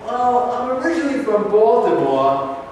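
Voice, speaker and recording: A man's voice: very high voice, very animated, moderate pace, darkish tone, recorded from a distance. A man playing a frail, friendly elderly retiree in a staged play reading, recorded from afar in an echoey, noisy hall. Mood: friendly, chatty.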